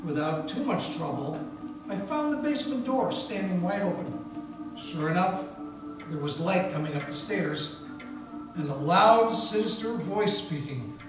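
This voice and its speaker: Wry hard-boiled detective narrator, distant. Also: male voice, sits high, lively intonation, unhurried, music in the background. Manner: wry, hard-boiled first-person storytelling. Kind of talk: live 1940s-style radio play narration. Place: historic mansion room with live audience and Christmas tree. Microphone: stand mic, filmed by a far camera. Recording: echoey room taped from far off.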